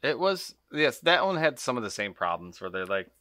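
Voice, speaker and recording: A man's voice: medium pitch, big pitch swings, a little fast, pushed and bright, dry recording, studio quality. A man co-hosting a podcast, giving blunt opinions in casual conversation. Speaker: podcast co-host. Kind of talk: casual chat.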